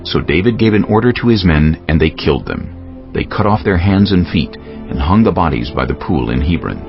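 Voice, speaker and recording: Super deep gravelly guy narrating the bible, lively intonation, measured and formal. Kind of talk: scripted scripture narration. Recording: slightly dull audio, faint music.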